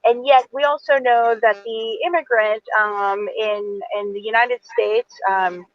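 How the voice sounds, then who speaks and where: A woman's voice: fairly high pitch, steady tone, unhurried, no room sound, muffled recording. A woman in her forties, an attorney, talking earnestly and a little rambling on a video call, with lots of fillers. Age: 40s. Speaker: attorney and event moderator. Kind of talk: virtual author event conversation.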